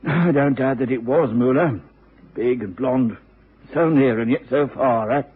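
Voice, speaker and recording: Husky police inspector. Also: male voice, mid-range voice, a little slow. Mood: rueful. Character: weary, matter-of-fact male police inspector. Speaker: supporting actor. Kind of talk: British radio drama acting. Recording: tinny old radio recording.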